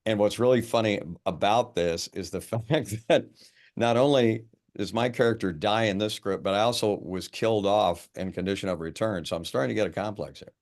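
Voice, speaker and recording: A man's voice: on the low side, fairly quick. A middle-aged man speaking casually and a little wryly as an actor in a group discussion on a video call. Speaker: actor in a table read discussion.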